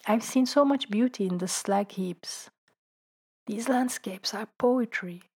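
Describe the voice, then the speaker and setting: A woman, a writer and translator, reading her own reflective essay in a calm, thoughtful way, sometimes dropping to a soft whisper. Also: on the high side, slow, slightly rough. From Belgium. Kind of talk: reflective personal essay narration.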